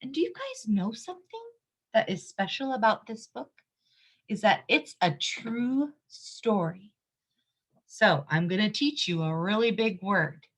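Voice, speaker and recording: A woman's voice: mid-range voice, sing-song, measured pace. A woman reading a picture book aloud to young children, explaining things simply and emphatically and asking her listeners questions.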